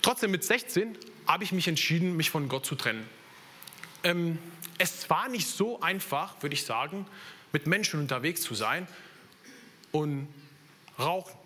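A man's voice: on the high side, lively intonation, a little slow, pauses often, bright, forceful tone. A young man from Italy with an Italian accent, preaching a sermon in earnest and sharing his own story. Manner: earnest, personal testimony with slips in German grammar.

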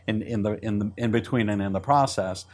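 A middle-aged man from Israel, a Hebrew native, talking as a guest in an interview, with a quick manner full of restarts and repeated words. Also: on the low side, a little mellow. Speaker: entrepreneur guest. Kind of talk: interview conversation.

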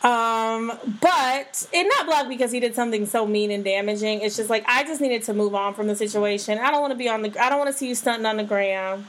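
A woman co-hosting a podcast in casual, gushing chat, her voice slightly hoarse and full of fillers and restarts. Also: a little high, brisk, hardly any pauses, slight background noise. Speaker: podcast co-host.

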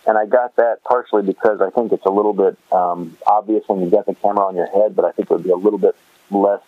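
A man's voice: lowish voice, steady tone, fast talker, no echo, phone-quality sound. A man chatting casually as a podcast guest, easygoing and given to rambling explanations, over a remote call. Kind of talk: casual conversational chat.

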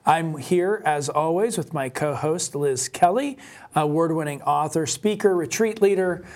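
Guy co-hosting a podcast, voice kinda high, chatty, stops and restarts his phrases. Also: measured pace, a little mellow. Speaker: podcast co-host, professor.

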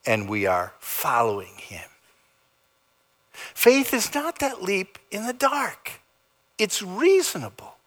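A man preaching a sermon as pastor, in a dramatic style that swings between loud emphasis and soft, low endings. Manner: dramatic preaching with big swings in pitch and loudness. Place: church sanctuary.

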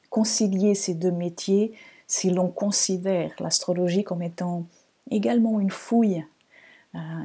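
A woman from Portugal with a Portuguese accent, speaking French as a second language in a reflective, measured podcast monologue, pausing between phrases. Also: fairly low pitch, mellow, breathy edge. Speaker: podcast host and astrologer.

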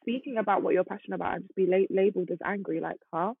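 Young woman, husky voice, emphatic casual podcast chat, thin phone-like sound. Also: on the low side, very fast, dry recording. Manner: emphatic, animated, talks over others. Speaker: podcast co-host. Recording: dry, tinny phone-like sound.

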